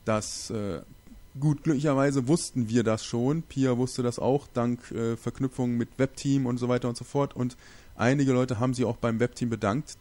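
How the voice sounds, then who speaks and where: A man with a gravelly, creaky voice and a Berlin accent hosts a local community podcast. He talks in a loose, rambling way, often restarting his sentences. His pitch sits in the middle range. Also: a little mellow. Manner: chatty, rambling with restarts and fillers. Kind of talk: casual spoken local news podcast.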